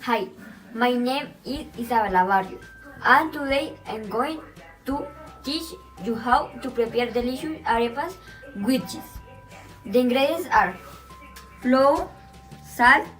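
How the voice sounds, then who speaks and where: A woman's voice: a little high, low-quality recording. A young woman explaining a recipe step by step in a cooking demonstration. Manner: slow, step-by-step instructing. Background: soft music.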